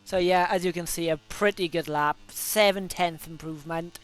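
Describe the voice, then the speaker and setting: A young man with a Welsh accent and a fairly high, smooth, clear voice talks through his racing laps. He has a cold coming on. He chats loosely, with frequent ums and quick self-corrections. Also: fairly even intonation, fairly bright tone, soft background music. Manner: chatty, self-correcting, many fillers. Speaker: gaming video host. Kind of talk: casual gameplay commentary.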